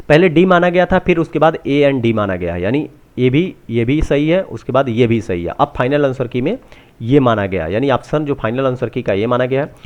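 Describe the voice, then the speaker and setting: A man talks through exam updates unscripted, halting and often restating what he has just said. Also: mid-range voice. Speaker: presenter of exam answer-key updates. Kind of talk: unscripted walkthrough explanation.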